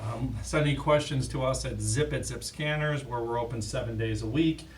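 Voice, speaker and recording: A man's voice: medium pitch, moderate pace. A man hosting a casual, unscripted product demonstration, talking like an in-house expert. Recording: distant mic, faint background noise.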